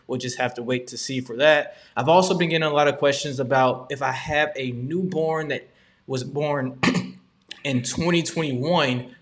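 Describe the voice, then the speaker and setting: A man's voice: medium pitch, a bit bright. Upbeat man hosting a live Q&A. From Georgia, US. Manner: upbeat, explanatory, frequent ums. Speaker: host. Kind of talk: live Q&A stream.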